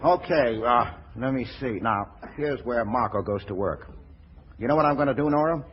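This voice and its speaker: A man's voice: mid-range voice, normal speed, close to an everyday voice. An actor playing a brash, streetwise middle-aged ex-racketeer running a brewery, with slangy, stammering tough-guy talk in 1940s radio comedy style. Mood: scheming. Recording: dull, noisy 1940s radio broadcast.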